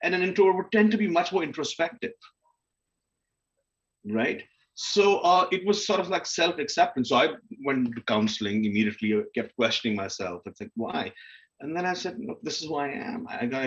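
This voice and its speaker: A man's voice: a little high, fairly quick, fairly bright tone. Muffled recording of a candid, conversational man. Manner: informal, self-questioning, acts out inner dialogue. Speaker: guest speaker on a literary festival panel. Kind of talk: candid conversational panel talk about personal experience.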